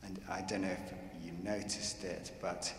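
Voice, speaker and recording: A man explains the electronics side at a handheld mic in a large church, rambling and full of fillers. His voice is very deep. The church adds long reverb and a faint hiss. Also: flat delivery, normal speed. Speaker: electronics collaborator answering questions. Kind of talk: unscripted Q&A answers. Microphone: handheld microphone. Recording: echoey church with faint noise.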